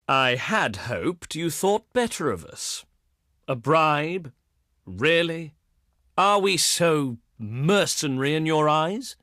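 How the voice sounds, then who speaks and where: A man playing a haughty, wry ancient Greek diplomat in a strategy game, with pompous, courtly delivery and dramatic pauses. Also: fairly high pitch, a bit bright, no echo, clean studio sound, heavily acted. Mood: smug, offended. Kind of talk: theatrical scripted game dialogue.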